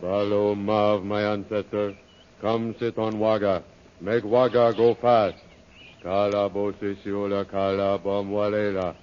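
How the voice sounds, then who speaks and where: Man playing a slow, deep, solemn island tribesman. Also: flat delivery, slight background noise, close to an everyday voice. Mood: solemn, incantatory. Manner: slow ritual chanting in halting pidgin English. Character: solemn island tribesman chanting to his ancestral spirit. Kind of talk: 1930s radio adventure acting. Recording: muffled old-time radio recording.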